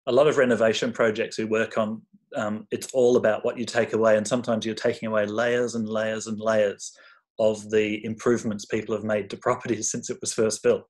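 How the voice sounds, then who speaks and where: Reflective man presenting, slightly low voice. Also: level tone, a little fast. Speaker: workshop presenter, architect. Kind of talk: informal online talk.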